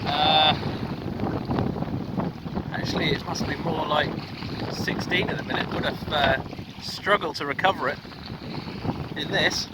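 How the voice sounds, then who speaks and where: A man narrating his solo sailing trip in a relaxed, hesitant way with plenty of fillers. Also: on the high side, unhurried, pauses often. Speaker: solo sailor vlogging. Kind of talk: casual vlog narration. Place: aboard a small sailing yacht at sea. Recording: loud wind noise on the mic. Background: wind and boat noise.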